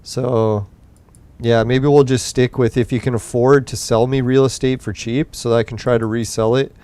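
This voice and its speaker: A young man with a smooth, clear voice and a tiring edge, rambling and cursing casually as he hosts a gaming show late at night. His sound is dry and close, with no room around it. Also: medium pitch, fairly even intonation, normal speed, dark tone, no room sound. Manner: rambling, profane, laid-back. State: voice getting tired late at night. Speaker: gaming podcast host. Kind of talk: casual gameplay commentary.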